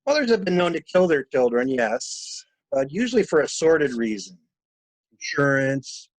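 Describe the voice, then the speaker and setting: A man's voice: on the high side, sing-song, moderate pace, no room sound, rough recording. A man acting a shrewd, blunt male traveler in a mystery drama reading, with clipped, wry, probing delivery. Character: male stranded traveler, shrewd, blunt, coolly inquisitive stranger.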